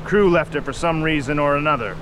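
An actor playing a terse, sardonic, commanding head lighthouse keeper in an old-time radio drama. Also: male voice, fairly high pitch, a little fast, natural voice. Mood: terse, puzzled. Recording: noisy vintage radio recording.

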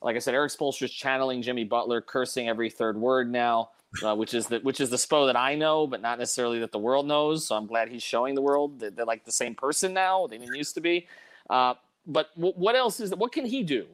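A man's voice: mid-range voice, brisk. A man hosting a casual sports panel discussion, repeating and restarting phrases for emphasis. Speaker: host.

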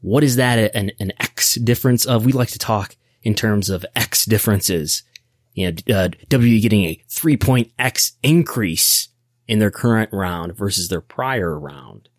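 A man's voice: fairly low pitch, measured pace, no echo, clean studio sound. An American man hosting a podcast, giving casual explanatory business commentary in a wry, nerdy way, often thinking aloud. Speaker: podcast host.

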